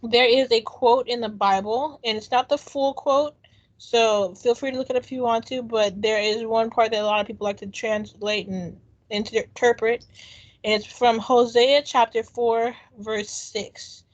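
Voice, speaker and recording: Earnest, reflective female co-host with a slightly high voice, muffled lo-fi recording. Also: fairly even intonation, moderate pace. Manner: earnest, reflective, many ums. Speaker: podcast co-host. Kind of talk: casual chat.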